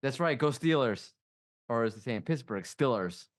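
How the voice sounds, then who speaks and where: A male co-host with a middle-pitched voice who trades dry, deadpan jokes. He delivers his teasing flatly. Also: normal speed. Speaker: regular co-host. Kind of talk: casual podcast banter.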